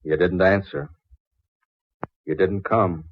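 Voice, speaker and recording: A broken man in love in an old radio melodrama. His voice is deep and husky, with a grave, weary, halting delivery. The recording is dull and vintage. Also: fairly even intonation, exaggerated voice. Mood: hurt, weary. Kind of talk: 1940s radio drama acting.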